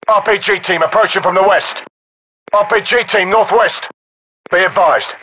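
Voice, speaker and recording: Casting: methodical game sniper on overwatch, cool head, slightly raised pitch, tense edge, clipped matter-of-fact reports. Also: male voice, bright, forceful tone, low-quality recording. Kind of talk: military game radio reports.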